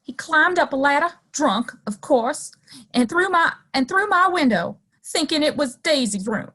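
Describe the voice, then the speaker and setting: A woman plays a wronged Old West saloon woman with plenty of fight. Her voice is fairly high, sassy and scornful, in radio-drama style. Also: expressive, unhurried, pushed and bright, full character voice. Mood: indignant. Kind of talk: audio play acting, western.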